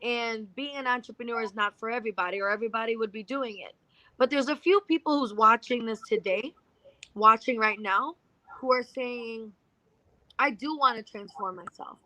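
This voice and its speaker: A woman's voice: on the high side, moderate pace, sharp tone, no echo, rough recording. A woman speaking emphatically in a motivational conversation as an interview guest, repeating phrases to drive points home. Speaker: financial services entrepreneur, interview guest.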